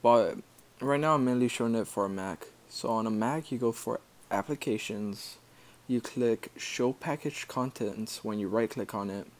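A man giving a casual screen-recorded software tutorial, explaining step by step in an informal, halting way. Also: medium pitch. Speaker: tutorial maker.

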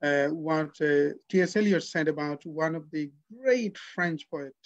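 A man in his forties hosting a poetry session, effusive in his praise and halting, with many fillers. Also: a little high, muffled recording. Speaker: host. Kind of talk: interview hosting. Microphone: video call.

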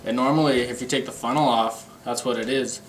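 A man's voice: medium pitch, fairly even intonation, fairly quick. Young man, casual, on a noisy recording. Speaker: hobbyist demonstrating a homemade gadget. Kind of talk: casual show-and-tell. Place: indoor room with a pool table. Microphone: camera mic an arm's length or more away. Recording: noisy amateur camera microphone.

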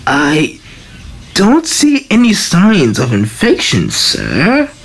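A woman's voice: fairly low pitch, huge pitch swings, slow pace, slight background noise, full character voice. A woman voicing a devoted, obsessive lab assistant to a villain, deferential and earnest, in an amateur comic dub. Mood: anxious, attentive.

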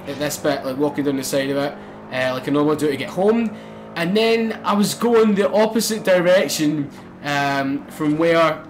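A young man commentating a racing game let's play, excitable and swearing, bursting into loud exclamations. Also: fairly high pitch. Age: young adult. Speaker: gaming channel host.

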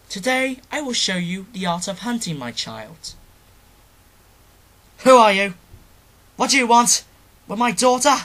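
A man voicing a protective creature parent in an animated film, solemn and earnest. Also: high-pitched, pitched above the performer's own voice, exaggerated voice. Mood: solemn, tender. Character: protective creature parent, gentle mentor, fierce when threatened.

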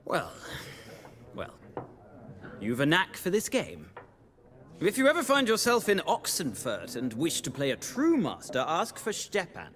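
Pompous scholar, highish voice, swooping intonation, theatrical, bookish, fantasy video game. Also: male voice, huge pitch swings, measured pace, pauses often, a bit bright, heavily acted. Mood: eager. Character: pompous, excitable male scholar, bookish and idealistic. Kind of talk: video game cutscene acting.

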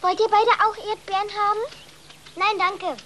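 A clever, self-assured little farm boy, with a very high voice. He speaks in an earnest, matter-of-fact way over clear animal noise from the farm. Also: little pitch movement, moderate pace, bright, forceful tone. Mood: proud, coaxing. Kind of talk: German-dubbed children's film acting.

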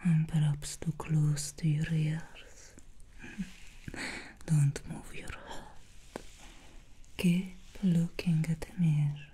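A woman's voice: deep voice, flat delivery, extremely slow, long pauses, full character voice. A woman whispering as an ancient demon stylist. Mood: soothing whisper. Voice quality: breathy whisper. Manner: soft unvoiced whisper, close to the ear. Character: ancient female demon hairstylist, soothing and seductive. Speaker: ASMR creator. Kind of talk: ASMR whispered roleplay.

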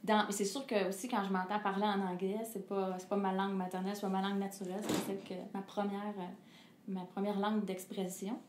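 A woman's voice: mid-range voice, little pitch movement, brisk, darkish tone. A middle-aged woman with a Québécois French accent, a singer speaking as an interview guest, earnest and reflective, hesitating often and laughing as she talks. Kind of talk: candid personal conversation. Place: living room with a fireplace, indoors. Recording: echoey room with faint hiss.

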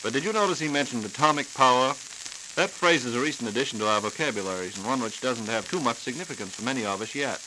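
A brisk American man hosts in scripted 1940s radio style. His voice is forward and bright, at a medium pitch, over the light hiss of an old transcription disc. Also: expressive, rough recording. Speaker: radio host.

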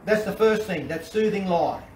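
Australian pastor preaching from afar. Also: male voice, high voice, moderate pace. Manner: animated preaching with repetitions and restarts. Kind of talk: sermon. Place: covered outdoor deck. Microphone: far camera. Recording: roomy and distant with faint ambience.

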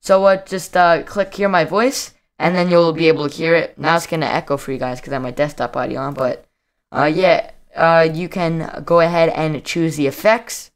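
A young man narrating a casual software tutorial as the host of a video. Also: fairly high pitch, fairly even intonation, moderate pace, smooth.

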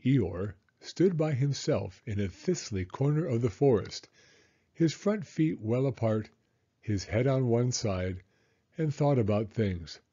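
Unhurried, expressive male storybook reader. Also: mid-range voice, breathy edge, highs cut off. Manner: expressive, deliberate. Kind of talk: read-aloud children's story narration.

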